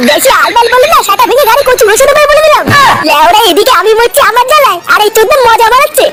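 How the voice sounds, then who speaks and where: A performer voicing squeaky, crude, quarrelsome cartoon villagers in exaggerated comedy dubbing. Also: expressive, fast talker, heavy background noise. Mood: bitter griping. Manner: crude, scolding, ranting.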